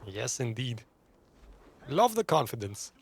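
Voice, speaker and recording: A man's voice: medium pitch, very animated, slow pace, a little hiss or hum. A man giving casual, playful commentary over a game, joking along with it. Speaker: gaming video creator.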